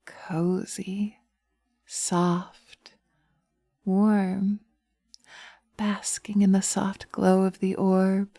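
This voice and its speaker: A woman's voice: mid-range voice, little pitch movement, very slow, pauses often, dark tone, no room sound, studio quality. A woman voicing a sweet, seductive witch who soothes and coaxes, partly in whispers, in a hypnosis roleplay audio. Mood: dreamy, lulling. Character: sweet, coaxing, seductive witch, gently teasing.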